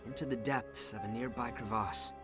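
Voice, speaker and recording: An actor playing a steady young male squad leader who also narrates in a measured, earnest way. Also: mid-range voice, over loud music, natural voice. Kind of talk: game narration and dialogue. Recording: game audio under loud score.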